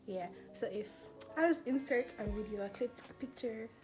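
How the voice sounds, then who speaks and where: A woman's voice: on the high side, normal speed, music in the background, low-quality recording. A nineteen-year-old woman from Jamaica with a Jamaican accent, chatting casually in a Q&A vlog, laughing often and filling with "you know" and "like". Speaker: vlogger.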